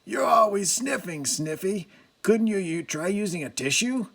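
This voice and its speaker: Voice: medium pitch, lively intonation, a bit bright, voice pushed up. A grumpy little dwarf, as the reader puts him on. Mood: calm. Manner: gruff, slow and sulky.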